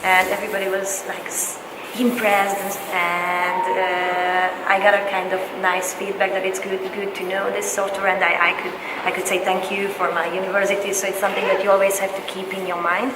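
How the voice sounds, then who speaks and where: A young woman from Hungary with a Hungarian accent gives casual advice as an exchange student, speaking in a hesitant, halting way. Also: medium pitch, flat delivery. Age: young adult. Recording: echoey hall with loud background noise.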